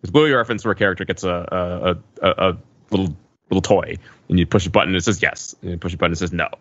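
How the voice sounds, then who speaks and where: A man's voice: low voice, very fast, fairly bright tone, lo-fi sound. A man co-hosting a podcast in casual discussion, emphatic, with stammered repetitions of words. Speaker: podcast host. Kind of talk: casual pop culture discussion.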